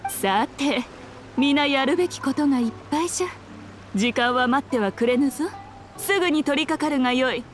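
A woman's voice: high voice, normal speed, faint music behind, natural voice. A woman voicing a sly, self-assured fox shrine maiden in a Japanese anime game, speaking in a lordly, archaic, teasing manner. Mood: commanding, reassuring.

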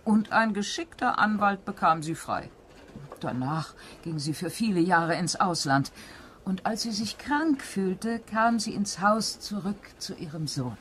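Gossipy housekeeper with swooping intonation. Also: female voice, medium pitch, animated, a little slow, fairly bright tone, slight background noise. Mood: confiding. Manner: confiding, dramatic storytelling. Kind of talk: German mystery radio play acting.

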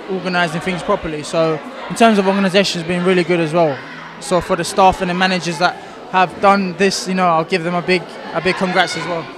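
A young man giving a casual interview as an event guest on a handheld microphone. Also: sits high. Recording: loud event crowd behind.

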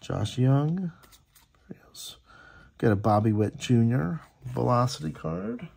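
A man with a husky voice and plenty of fry in it hosts a hobby video from a tabletop indoors. He talks casually as he goes, reading names aloud and chatting appreciatively about what he sees. His pitch sits in an ordinary male range. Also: lively intonation, slow, a little mellow. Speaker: host opening sports card packs. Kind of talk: casual running commentary.

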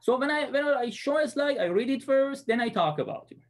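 Man, 30s, Persian accent, student presenter recorded on webcam; halting delivery with frequent fillers. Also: extremely high pitch, lively intonation. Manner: hesitant, many fillers and restarts. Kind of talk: class presentation lecture.

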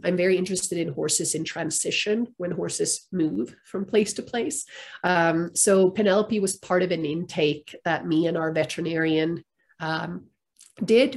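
Thoughtful woman from Finland, slightly low. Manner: thoughtful, many ums and restarts, light laughs. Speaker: guest expert, therapist. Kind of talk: conversational interview answers. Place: home room on a video call. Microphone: webcam or laptop mic, video call.

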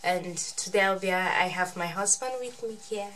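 A woman's voice: fairly low pitch, moderate pace, fairly bright tone, slight background noise, poor audio. A woman in her thirties hosting a casual family vlog, speaking to viewers and often repeating words. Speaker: vlogger host. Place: small bedroom, indoors.

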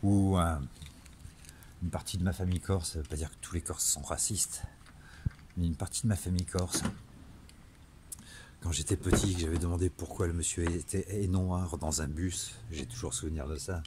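A man, speaking an earnest, improvised monologue to camera in a halting way with searching pauses. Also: deep voice, steady tone. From Marseille, southern France. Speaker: vlogger. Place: outdoors in a town street at night, later inside a car. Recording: noisy phone selfie audio, ambient noise.

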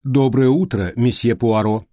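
A man's voice: medium pitch, a little slow, warm tone, no room sound, studio quality, close to an everyday voice. A man voicing an efficient, literal-minded middle-aged female secretary in an audiobook, precise and matter-of-fact.

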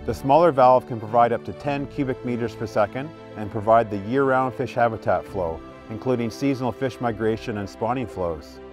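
A man's voice: mid-range voice, a little fast, hardly any pauses, darkish tone. Man presenting over background music. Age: middle-aged. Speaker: utility spokesman presenting a project update. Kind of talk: scripted narration and on-site presenting. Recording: music behind the voice.

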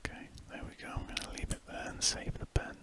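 Breathy whispering English man. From England. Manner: unhurried, murmured thinking aloud. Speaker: ASMR drawing host. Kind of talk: ASMR rambling while drawing. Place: indoors at a lamp-lit desk.

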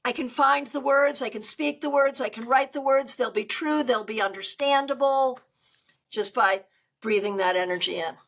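An older woman with a loud, good speaking voice, chatting animatedly as a guest over a video call. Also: high-pitched, normal speed. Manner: animated, gestures a lot while talking. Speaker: tarot reader guest. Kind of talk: casual interview chat. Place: home room on a video call.

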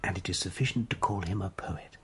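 An actor playing a gentle, loyal, bookish friend at a sickbed, speaking softly and soothingly in a radio drama. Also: male voice, low-pitched. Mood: consoling, earnest. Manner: soft, soothing, measured bedside delivery.

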